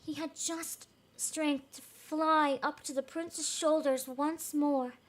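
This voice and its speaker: A woman's voice: sits high, steady tone, slow, slight background noise, poor audio. A woman reading a fairy tale aloud as host, in an emotive, giggly way with casual reactions.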